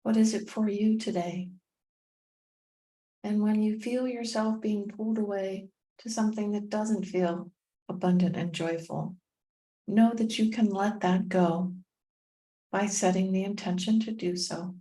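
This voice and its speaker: A woman guides a meditation at a very unhurried pace. Her voice is soft-edged and relaxed, at a middling pitch. She speaks gently in short phrases and leaves long, soothing pauses between them. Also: rough recording. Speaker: meditation guide and tapping coach.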